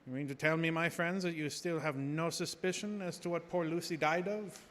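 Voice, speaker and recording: A man acts a grave professor and vampire hunter in a gothic radio play. His fairly high voice presses each point with theatrical insistence. It rings in a long hall reverb with some noise. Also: steady tone, moderate pace, fairly bright tone, lightly acted. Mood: urgent, challenging. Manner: theatrical, probing rhetorical questions. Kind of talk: gothic radio drama acting. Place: live theater stage.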